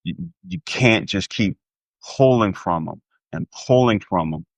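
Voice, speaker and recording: An American man with a low-pitched voice talks as an interview guest. He is conversational and emphatic, slipping into quoted speech to drive points home. The sound is close and dry. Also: lively intonation, measured pace, gravelly, dry recording. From United States. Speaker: interview guest, former pro athlete. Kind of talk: animated personal conversation.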